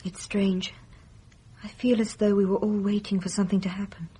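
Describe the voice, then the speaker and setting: A timid young village woman, with a very husky, breathy voice at a middle pitch. She is hesitant and deferential, uneasy, in the manner of radio drama. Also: level tone, normal speed, warm tone. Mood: subdued.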